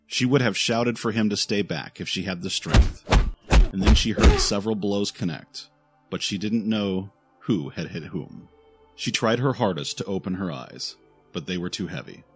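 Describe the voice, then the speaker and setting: A man's voice: fairly low pitch, expressive, moderate pace, music in the background. A man reading scripted audio drama narration in a dramatic, solemn storytelling manner.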